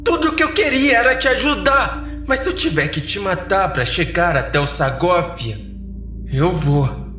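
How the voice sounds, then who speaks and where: A man voicing an eerie, condescending shapeshifting creature in the guise of a woman, with softened, taunting speech for a horror audiodrama. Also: sits high, lively intonation, unhurried, roomy sound, faint music behind, pitched above the performer's own voice, heavily acted. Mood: angry, hurt.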